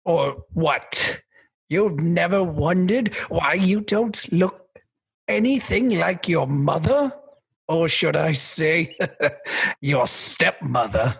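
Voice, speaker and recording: An actor playing a gloating, theatrical villain king in a radio comedy, taunting and bombastic, with a raspy, creaky voice. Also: fairly high pitch, lively intonation, takes it slowly, fairly bright tone, full character voice.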